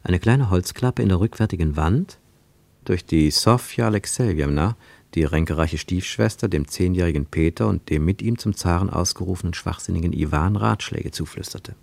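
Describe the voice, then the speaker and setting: A man narrating a radio play as a literary travel account, measured and descriptive. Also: low-pitched, vocal fry, darkish tone, dry recording.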